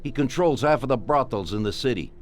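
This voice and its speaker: Casting: male actor as cold, businesslike crime boss for a game, authoritative, mid-pitched, music underneath. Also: expressive, close to an everyday voice. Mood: disgusted, scheming. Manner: authoritative, deliberate, giving orders. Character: ruthless, calculating Mafia boss, commanding and businesslike. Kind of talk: scripted video game dialogue.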